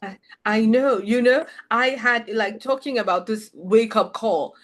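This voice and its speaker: A woman's voice: on the high side, unhurried. Reflective woman hosting. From Nigeria. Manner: thoughtful, restarts sentences, reflective. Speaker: host. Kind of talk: interview chat.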